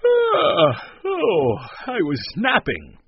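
A man's voice: a little high, huge pitch swings, unhurried, breathy edge, no echo, muffled recording, pitched above the performer's own voice, heavily acted. A man voicing a flustered, evasive storybook character who hesitates, stammers and mumbles. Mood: sheepish, flustered.

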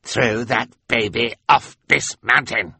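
Man putting on a sneering villain, raised pitch, loud and strained, husky, storybook audiobook. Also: takes it slowly, no room sound, heavily acted. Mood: commanding. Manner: sneering, shouting orders. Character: vain cruel villainous man, theatrical.

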